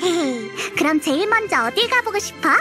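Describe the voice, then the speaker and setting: A woman's voice: very high-pitched, sing-song, a little fast, music in the background. A woman voicing a tiny, cheeky, childlike fairy girl in a video game, with perky, blunt speech. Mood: eager, cheerful. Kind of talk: Korean video game voice acting.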